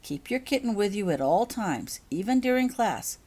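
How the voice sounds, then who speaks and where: A woman reader plays a kindly witch matron who heals at a school, in a storybook tale. She coaches patiently and firmly, giving clear instructions with a widely moving, animated pitch. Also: moderate pace. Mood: firm, encouraging.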